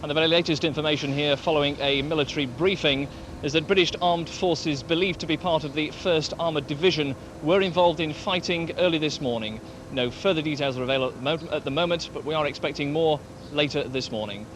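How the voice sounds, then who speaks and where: A man giving a live news report as a foreign correspondent. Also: fast talker. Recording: loud vehicle and rumbling background noise.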